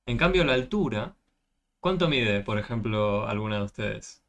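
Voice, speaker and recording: A man's voice: lowish voice, animated, moderate pace, a bit bright, slight background noise. A man tutoring a math class over a video call, patiently explaining and often checking with questions.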